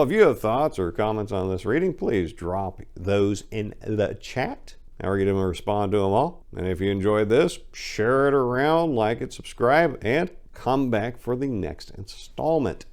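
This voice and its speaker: A man giving an expressive dramatic reading in the manner of a personal memoir, shifting between emphatic and hushed delivery as a host. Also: on the low side, normal speed, hardly any pauses.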